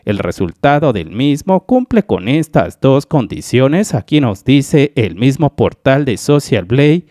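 Man from Mexico, explainer voice-over; animated pitch, dry close sound, methodical walkthrough. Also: measured pace, hardly any pauses, no room sound. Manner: methodical, addresses viewers as dear friends. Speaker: YouTube channel analyst. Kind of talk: screen-recorded explainer voice-over.

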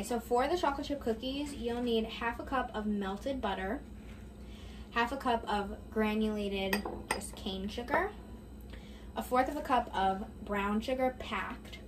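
A woman's voice: fairly high pitch, a bit bright. A young woman vlogger talking through a recipe in her home kitchen, chatty and offhand, thinking aloud as she bakes. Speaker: baking vlogger. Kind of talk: casual bake-along narration. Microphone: camera on the counter, speaker mostly off-frame.

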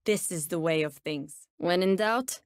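An actress with a Turkish accent voicing a menacing, sardonic nightmare-wielding bounty hunter in a video game, speaking with cool, ominous deliberation. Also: female voice, fairly low pitch, unhurried, dry recording, studio quality. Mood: ominous, measured. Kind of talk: video game character voice lines.

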